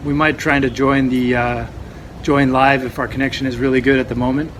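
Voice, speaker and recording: A man's voice: medium pitch, little pitch movement, brisk, fairly bright tone. A man co-hosting a casual prerecorded chat as a festival organizer, laid-back and swearing now and then. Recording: street noise and passing vehicles behind.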